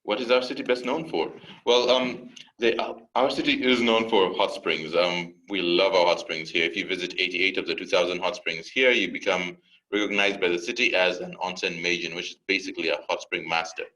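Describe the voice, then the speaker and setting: A young man from Uganda presents a university webinar as an admissions counselor. His voice sits very low yet sounds bright and forward. He keeps a relaxed, lightly joking tone with some fillers. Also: a little fast, rough recording.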